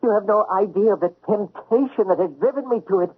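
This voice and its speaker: An actor playing a weak, guilty, desperate embezzler in a radio western, stammering and pleading. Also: male voice, sits high, quick pace, slightly rough. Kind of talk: old-time radio drama acting. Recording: muffled old radio drama recording.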